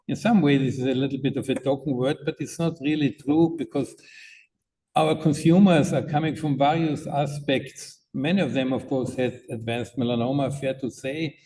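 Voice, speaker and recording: A man's voice: medium pitch, fairly even intonation, warm tone. Austrian man giving a conference talk. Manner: halting, many fillers and restarts. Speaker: conference speaker. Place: conference hall. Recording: distant mic with faint noise.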